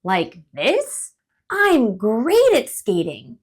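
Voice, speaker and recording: A woman voicing an excitable, curious little male squirrel in a picture-book reading, exclamatory and childlike. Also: extremely slow, breathy edge, full character voice. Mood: excited. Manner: exclamatory, high and loud, childlike questions. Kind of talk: storybook read-aloud voice.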